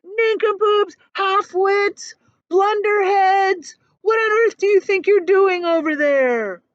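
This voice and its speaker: A woman voicing a boastful, rude male centipede who jeers and shouts insults in a storybook reading. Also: fairly high pitch, very animated, moderate pace, dry recording, muffled recording. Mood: yelling insults. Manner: loud and jeering.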